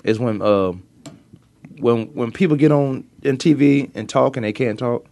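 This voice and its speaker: A man with a Virginia accent hosting a casual sports talk show, arguing his points emphatically and repeating himself when excited. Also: mid-range voice, moderate pace, gravelly, no room sound.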